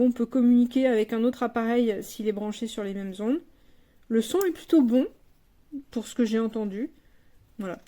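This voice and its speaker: Casting: female product reviewer, slightly high voice with a very mellow tone, unscripted, colloquial and candid delivery. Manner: frank, plain-spoken, colloquial.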